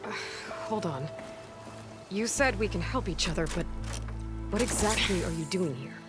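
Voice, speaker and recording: A woman's voice: medium pitch, animated, normal speed, close to an everyday voice. An actress voicing a determined young woman hunter who speaks in a guarded, dry way. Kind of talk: video game cutscene acting. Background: game score music.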